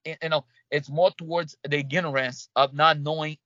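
A man from Brazil with a Brazilian Portuguese accent, a guest in an interview, talking in halting bursts with many restarts and fillers. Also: a little high, steady tone, bright, forceful tone, no echo, muffled recording. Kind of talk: conversational interview.

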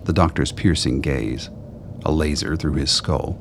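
Super deep gravelly guy narrating a radio drama, noisy background. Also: measured pace. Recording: clear background noise.

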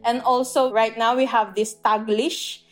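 A woman from the Philippines with a Filipino accent, whose first language is Tagalog. She is a language teacher who explains things earnestly in a casual interview, pausing often with "uh". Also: on the high side, steady tone, soft background music. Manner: earnest, explanatory, frequent "uh" pauses.

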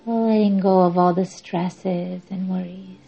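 A woman guiding a yoga nidra meditation in a measured, soothing, instructing way with long pauses. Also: lowish voice, fairly even intonation, vocal fry, dark tone. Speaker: meditation podcast host and guide. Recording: dull lo-fi audio under music. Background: music.

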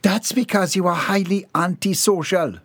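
A man's voice: high voice, normal speed, vocal fry, slightly rough, no echo, pitched above the performer's own voice, heavily acted. A man voicing a composed middle-aged matron who soothes and scolds in turn. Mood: firm. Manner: soothing but stern.

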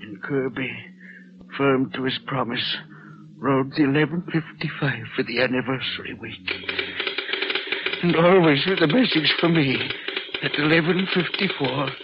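An actor playing a haunted, guilt-ridden man, halting and melodramatic, in an old radio horror drama. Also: mid-range voice, heavy background noise, natural voice. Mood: haunted, brooding. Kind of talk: 1940s radio horror acting and narration. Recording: muffled old radio recording, music behind.

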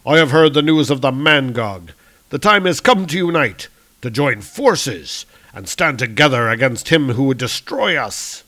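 A man's voice: mid-range voice, sing-song, measured pace, bright, forceful tone. A man voicing a noble, righteous thunder god in a comic-book story, with solemn declamatory archaic speech. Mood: solemn defiance.

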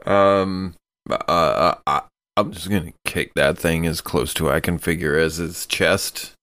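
Male tabletop player, low unhurried voice, hesitant casual delivery. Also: fairly even intonation, a bit bright, clean tone. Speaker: player in a tabletop roleplaying game. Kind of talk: casual game-table talk.

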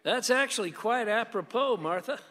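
A man's voice: extremely high pitch, lively intonation, normal speed, pushed and bright, full character voice. An actor playing a proud, paranoid old grandfather in a radio play, with a sardonic and ominous manner. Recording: reverberant hall.

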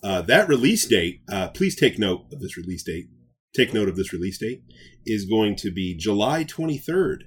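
A man's voice: lowish voice, big pitch swings, normal speed, husky. A man co-hosting a podcast in casual chat, emphatic and given to repeating his points for effect. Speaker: co-host of a nerd news podcast.

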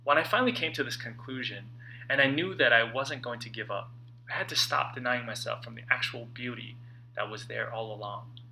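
A man narrating his own self-help book in an expressive, earnest and emphatic way, with dramatic phrasing and pauses. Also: medium pitch, vocal fry, a little hiss or hum, rough recording. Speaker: author reading own book.

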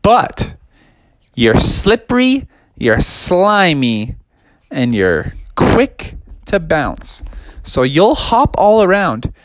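A man's voice: on the high side, wildly swooping pitch, drawn-out words, dry recording, exaggerated voice. Man as slangy, encouraging boxing coach buddy. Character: laid-back frog's boxing coach, a strategizing loyal buddy.

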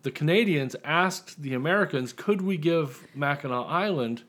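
A man's voice: a little high, animated, normal speed, fairly bright tone. Man telling a wry story. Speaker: author, podcast guest. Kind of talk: casual interview chat, telling his story.

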